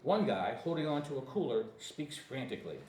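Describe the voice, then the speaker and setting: A man's voice: medium pitch, big pitch swings, fairly quick, darkish tone. A man reading screenplay stage directions aloud as narrator at a table reading, occasionally stumbling over words. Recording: echoey room, distant mic, faint noise.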